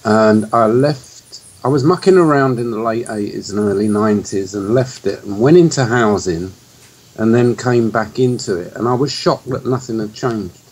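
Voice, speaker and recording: A middle-aged man from England giving his view in a talk-show discussion, in a measured, reflective way. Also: fairly low pitch, darkish tone, slightly rough, rough recording.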